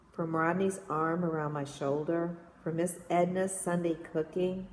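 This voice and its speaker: Woman, lowish voice, expressive read-aloud of verse, reverberant room, faint background noise. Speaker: reader, reading poems aloud.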